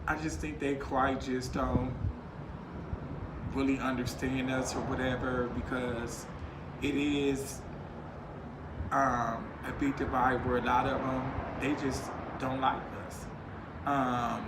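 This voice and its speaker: A man's voice: mid-range voice, little pitch movement, takes it slowly. A man speaking earnestly as a guest in a casual panel discussion, leaning on "you know" and "you know what I'm saying.". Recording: echoey room with loud noise.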